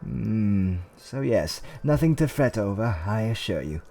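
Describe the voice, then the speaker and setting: A man playing a gentle, courteous tavern keeper in a fantasy roleplay, with soothing, old-fashioned politeness and theatrical hums and pauses. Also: lowish voice, sing-song, vocal fry, heavily acted. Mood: welcoming, musing. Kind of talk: roleplay ASMR character acting.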